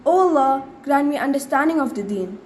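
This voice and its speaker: A teenage girl. She recites prayers in a measured, phrase-by-phrase way, like a student at a school assembly. There is faint noise behind her. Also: high voice, expressive, darkish tone. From India. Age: teenager, ninth grade. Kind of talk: prayer recitation with English translation.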